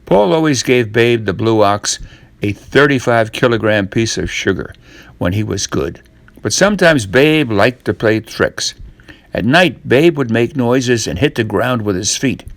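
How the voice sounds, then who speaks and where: Older male storyteller for children's tales. Slow, deliberate delivery, lively intonation, dramatic pauses. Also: mid-range voice, no room sound. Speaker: storyteller reading a tall tale.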